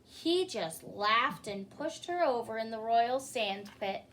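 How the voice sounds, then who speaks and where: A woman reading a children's picture book aloud as a story-time host, in an expressive manner. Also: a little high. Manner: expressive, pausing to ask listeners questions. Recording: clearly noisy recording.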